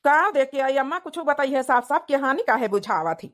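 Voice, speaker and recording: A woman's voice: high voice, animated, moderate pace. A woman voicing a doting, reassuring young village groom in a cartoon, coaxing and repetitive, with an Awadhi accent. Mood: curious, concerned. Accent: Awadhi, rural north India. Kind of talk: cartoon comedy dialogue.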